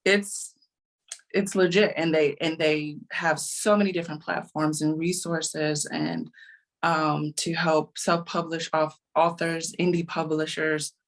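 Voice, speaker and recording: A woman guest talking casually in an interview, phrasing her thoughts in a halting, thoughtful way. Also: low-pitched. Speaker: interview guest, author and indie publisher.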